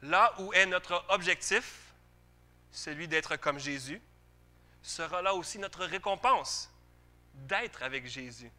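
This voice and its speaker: Bright, forceful Quebec preacher, a man. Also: sits high, animated, slow pace. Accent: Quebec French. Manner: conversational, rhetorical questions to listeners. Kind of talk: sermon to a church congregation.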